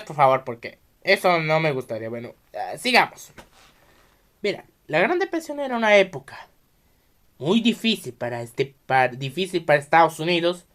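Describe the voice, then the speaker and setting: A man with a Mexican accent hosting an informal solo explainer podcast, halting and repetitive as he keeps restarting his sentences. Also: sits high, a bit bright. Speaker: video podcast host.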